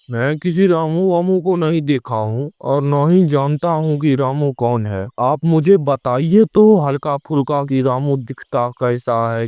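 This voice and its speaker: A man's voice: medium pitch, darkish tone, smooth, dry recording, natural voice. A man voicing a reluctant, easily spooked fellow in a horror cartoon, protesting and querulous. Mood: puzzled. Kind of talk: Hindi horror cartoon voice acting.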